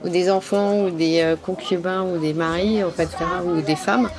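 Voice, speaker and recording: A woman's voice: on the low side, steady tone, normal speed. A woman from France answering questions in a street interview outdoors at a summer event, hesitant, with many fillers and restarts. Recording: outdoor event with crowd noise. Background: crowd chatter.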